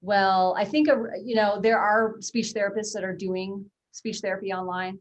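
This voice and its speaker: A woman's voice: mid-range voice, steady tone, normal speed, highs cut off. A woman hosting a webinar, reading viewer questions aloud with frequent fillers. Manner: friendly, reads questions with many fillers. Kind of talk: casual webinar Q&A.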